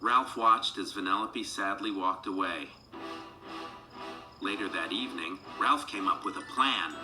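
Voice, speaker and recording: A man narrating a children's read-along storybook in an animated, dramatic storytelling manner. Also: deep voice, unhurried, low-quality recording. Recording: music behind the voice.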